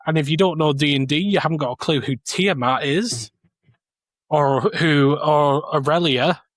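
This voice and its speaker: Blunt man with a slightly high voice. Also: unhurried, no echo, studio quality. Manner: blunt, sweary. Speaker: podcast panellist. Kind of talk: casual opinionated discussion.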